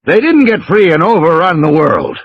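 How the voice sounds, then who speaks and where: A man performs an indignant, stubborn man in an audiobook dialogue. He is stiff and argumentative, with a husky, fairly high voice on a slightly dull recording. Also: sing-song, moderate pace, no echo. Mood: defensive. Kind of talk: dramatised audiobook character reading.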